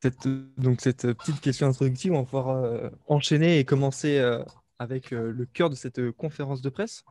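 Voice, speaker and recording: A man's voice: mid-range voice, steady tone, moderate pace, mellow, faint music behind. A young man, a student journalist, moderating an online press conference in an organized but slightly hesitant way. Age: young adult. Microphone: video call mic.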